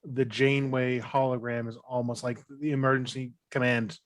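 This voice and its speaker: A man's voice: medium pitch, little pitch movement, normal speed, dry recording. A man chatting informally as a panelist in a fan podcast discussion.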